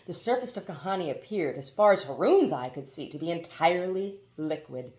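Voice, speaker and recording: A woman reading a children's novel aloud as expressive scripted narration. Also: on the low side, brisk, echoey room. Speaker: audiobook reader.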